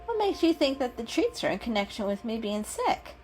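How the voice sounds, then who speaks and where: A woman's voice: a little high, sing-song, fairly quick, darkish tone, soft background music, voice pushed up. A woman voicing a grumpy, skeptical female cat in a storybook, curt and snippy. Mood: grudging, skeptical.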